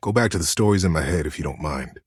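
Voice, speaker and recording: A man voicing a devoted, sentimental teddy bear in a video game, talking in a gentle, folksy, earnest way with little stammers. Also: very deep voice, animated, quick pace, breathy edge, no room sound, studio quality, full character voice. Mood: hurt. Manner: folksy, earnest, cozy wording with hesitant stammers. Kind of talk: video game character acting.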